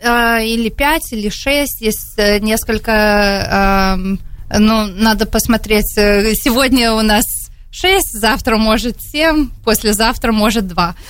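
A woman's voice: a little high, slow, fairly bright tone, smooth, no echo. Hesitant woman with an American accent. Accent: American English. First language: English. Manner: hesitant, searching for Russian words, many fillers. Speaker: city council candidate. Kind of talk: radio interview answers. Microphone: studio microphone.

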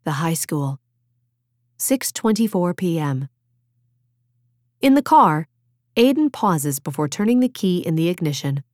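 A woman's voice: mid-range voice, a little mellow, no room sound. Close, dry-sounding woman narrating a novel. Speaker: audiobook narrator.

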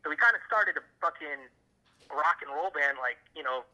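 A man from Miami with an American accent and a fairly high, strikingly bright voice. He talks over a tinny phone line. His delivery is casual and rambling, full of slang and swearing as he tells stories. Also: expressive, normal speed. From Miami, USA. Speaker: interview guest on a phone call. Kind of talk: casual chat, telling old stories.

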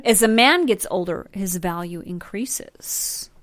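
A woman's voice: mid-range voice, lively intonation, slow pace, dry recording. A middle-aged woman from Colorado, a podcast guest, chatting loosely and candidly after a drink. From Colorado, USA. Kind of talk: casual chat.

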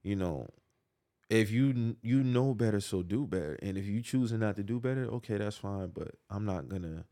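A man's voice: lowish voice, animated, moderate pace, warm tone. A man, talking in casual podcast chat. He speaks emphatically and keeps checking in with his listener. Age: 30s. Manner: emphatic, repeats "you get what I'm saying". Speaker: podcast co-host.

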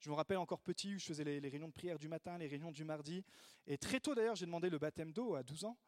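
A man preaching a sermon in a lively way, acting out the voices he quotes. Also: fairly high pitch, rapid-fire, no room sound, studio quality. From Italy. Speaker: pastor.

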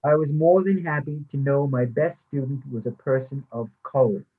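Male, mid-pitched actor playing formal, defensive academic; stage reading, narrow phone-grade audio. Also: fairly even intonation, moderate pace, close to an everyday voice. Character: defensive, haunted former English instructor, later retired. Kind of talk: readers theatre play reading. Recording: thin, phone-like sound.

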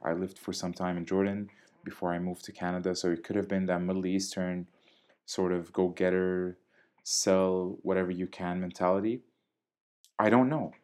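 A young man from Syria, a DJ speaking in a podcast interview with a measured, articulate and diplomatic manner. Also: low-pitched, little pitch movement, a little mellow. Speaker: DJ and guest.

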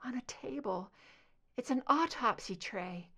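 A woman's voice: lively intonation, a little slow, pushed and bright, heavily acted. A woman voicing a terrified female agent under hypnosis, crying out in pain in a strained voice. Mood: uneasy. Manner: pained, high, crying out.

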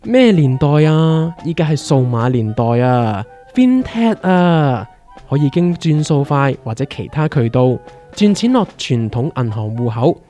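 A man with a Hong Kong accent playing an excitable, teasing veteran small investor in a comic radio drama, with slangy, theatrical delivery. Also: a little high, a little slow, soft background music. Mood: boastful explaining. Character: excitable male veteran retail stock trader, teasing know-it-all.

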